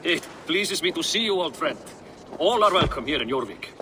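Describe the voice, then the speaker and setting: A man's voice: on the high side, lively intonation, moderate pace, noisy background, natural voice. An actor playing a burdened old Viking warrior and councilman, hearty but wary, in a video game cutscene. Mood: hearty, welcoming.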